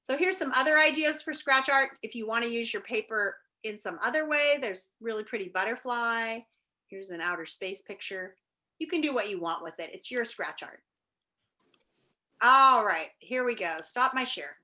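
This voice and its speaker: A woman's voice: a little high, animated, moderate pace, bright, forceful tone. A woman teacher talking and reading aloud to an online class, enthusiastic and encouraging. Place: indoors, on a video call.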